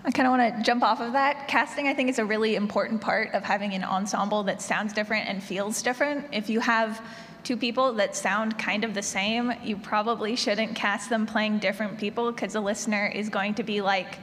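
A woman's voice: medium pitch, fairly even intonation, fairly quick, fairly bright tone. A woman talking casually as an audio drama maker on a conference panel. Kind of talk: casual explanatory panel talk. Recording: reverberant conference hall, noisy.

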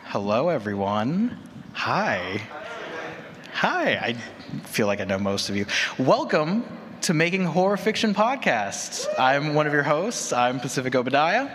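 A man's voice: fairly high pitch, big pitch swings, a little slow. Man hosting a panel in an echoey hall. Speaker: panel host. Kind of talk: conference panel presentation. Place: large indoor conference session room. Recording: echoey hall, distant room noise.

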